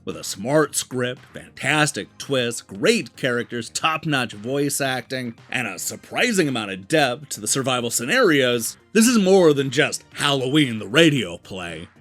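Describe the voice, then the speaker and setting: Animated man reviewing games over music. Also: medium pitch, unhurried, few pauses. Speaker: video game reviewer and show host. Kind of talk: scripted review narration. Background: background music.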